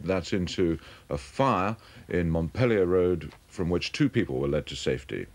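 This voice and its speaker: Man, British accent, very deep voice, scripted TV news bulletin, regional newsreader. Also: darkish tone. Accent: British English.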